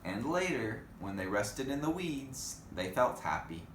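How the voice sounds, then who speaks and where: A man's voice: mid-range voice, sing-song, measured pace. A man reading a storybook aloud to children, expressively, with chatty asides to his young listeners. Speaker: storybook reader. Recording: echoey room, faint background noise.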